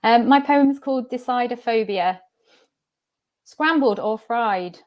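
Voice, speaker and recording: A woman's voice: a little high, a little slow, dull audio. A woman reading her own poem aloud. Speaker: poet. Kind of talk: poetry reading.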